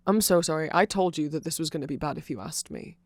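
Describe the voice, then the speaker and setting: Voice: medium pitch, fast talker, dry recording, close to an everyday voice. A nerdy vampire played by an ASMR performer explains things in an eager, self-conscious ramble. The voice sits in a middle register and keeps wandering off on tangents and apologizing. The sound is close and dry, with very little room to it. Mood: apologetic.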